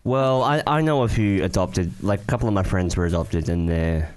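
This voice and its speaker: A man's voice: deep voice, normal speed, darkish tone. A man hosting a podcast, easygoing and hesitant, talking into a studio mic while wearing headphones. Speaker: podcast host. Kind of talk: casual chat.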